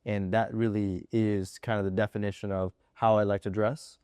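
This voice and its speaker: A man's voice: on the low side, flat delivery, moderate pace, dark tone, clear, resonant tone, no echo. A man from the Chicago suburbs, a fashion designer guest in a studio interview, speaking earnestly with many restarts. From Chicago suburbs, USA. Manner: earnest, restarts a lot, says "yo" and "of course". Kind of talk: casual interview chat.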